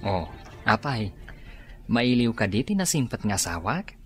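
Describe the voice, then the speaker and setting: Husky, forgiving husband. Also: male voice, fairly low pitch, big pitch swings, a little slow, full character voice. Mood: calm, counseling. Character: calm, forgiving husband counseling his wife. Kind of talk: radio drama acting.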